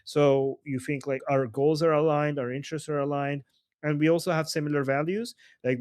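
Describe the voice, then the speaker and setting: A man's voice: medium pitch, a little mellow, dry recording. A man from Iran hosting a live political discussion, arguing emphatically and dropping rhetorical "right?" and "okay?". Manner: emphatic, argumentative, rhetorical tag questions. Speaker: host.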